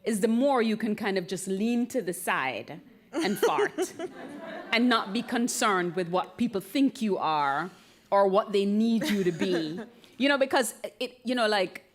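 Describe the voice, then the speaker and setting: A woman with a Jamaican accent talking candidly on stage, unhurried, thoughtful and playful. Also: medium pitch, lively intonation. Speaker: speaker in a live conversation event. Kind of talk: reflective, candid talk before a live audience.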